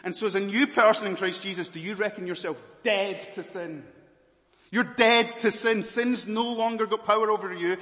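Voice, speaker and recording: A man with a Scottish accent preaching a sermon, moving between hushed and loud emphatic passages. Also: high voice, steady tone, moderate pace, in a large hall. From Malawi, raised as Scottish.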